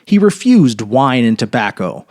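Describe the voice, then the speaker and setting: A man narrating a short story as a dramatic reading, with theatrical, measured phrasing. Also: mid-range voice, sing-song, darkish tone.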